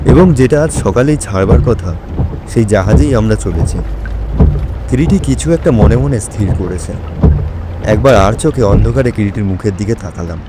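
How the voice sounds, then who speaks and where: Loud watery ambience surrounds a low male voice played by a man. He is the detective's companion, recounting a mystery in first person for an audio drama. His delivery is measured, literary and atmospheric. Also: very noisy, natural voice. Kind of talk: dramatic literary story narration.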